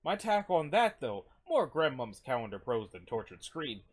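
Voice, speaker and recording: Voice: medium pitch, big pitch swings, normal speed, husky. A performer voicing a cheerful, soothing comic character with an expansive, philosophical manner. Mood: bright, reassuring.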